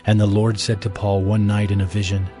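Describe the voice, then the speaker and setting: A man narrates scripture for an audio Bible in a low voice. His delivery is measured and solemn, with faint music underneath. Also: level tone, warm tone.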